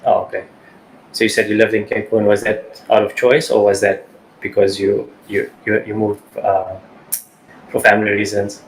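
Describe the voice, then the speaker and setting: Male podcast host, low and even-toned, stop-start questions. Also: creaky, a little hiss or hum, rough recording. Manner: halting, repeats words and says "you know". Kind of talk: podcast interview.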